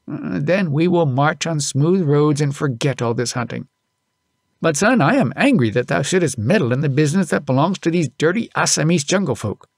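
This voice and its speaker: A man's voice: fairly high pitch, animated, moderate pace, creaky, dry recording, studio quality. A man voicing a proud, grumbling middle-aged elephant driver who scolds in archaic speech for an audiobook. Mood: indignant scolding. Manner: indignant, archaic thee-and-thou speech. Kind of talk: audiobook character voice.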